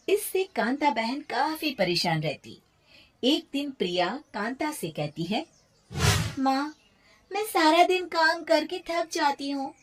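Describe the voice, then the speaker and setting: A woman's voice: mid-range voice, husky. A woman narrating a scripted moral tale as a storyteller.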